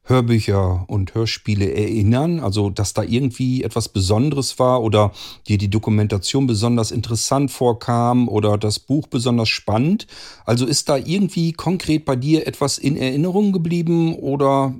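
Male interviewer, medium pitch, casual conversational questioning. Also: normal speed, a little mellow.

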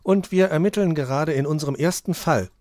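A man's voice: fairly high pitch, brisk, darkish tone, no room sound, clean studio sound, pitched above the performer's own voice, natural voice. A man voicing an earnest, sensible young animal detective in a children's story, explaining things reasonably. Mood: eager.

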